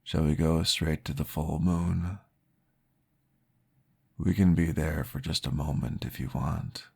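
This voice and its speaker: A man voicing a calm, patient male vampire therapist, soft-spoken and reassuring, often dropping into a whisper in an ASMR roleplay. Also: a bass voice, slow pace, heavily acted. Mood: soothing whisper. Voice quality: gravelly, husky. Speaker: therapist guiding a listener.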